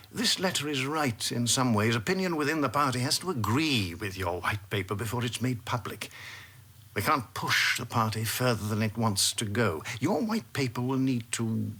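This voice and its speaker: A man acting a shrewd, patronising senior British minister in a radio drama, with a husky voice and a measured, avuncular manner. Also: medium pitch, sharp tone.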